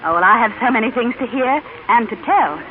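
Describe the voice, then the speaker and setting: A woman's voice: a little high, lively intonation, fairly quick, close to an everyday voice. An actress playing a gracious, well-bred widowed mother, polite and delighted, in an old radio drama. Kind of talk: 1930s radio acting. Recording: tinny, hissy old radio recording.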